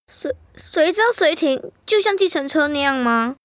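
A woman's voice: high voice, animated, normal speed, dry recording, clean studio sound. A woman playing an enthusiastic, curious, food-loving child in an educational radio drama. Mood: curious, chatty.

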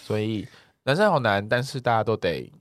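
A man's voice: on the low side, big pitch swings, brisk, no room sound, clean studio sound. A man with a Taiwanese Mandarin accent chatting casually and expressively as a podcast co-host, with occasional English words mixed in. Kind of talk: casual chat podcast, reading listener replies.

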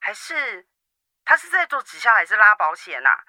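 A woman's voice: medium pitch, very animated, moderate pace, pushed and bright, dry recording, studio quality. A woman acting a busy married daughter on the phone in a radio drama, coaxing her mother and making excuses. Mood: wheedling. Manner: wheedling and excuse-making, suspicious of others. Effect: phone call.

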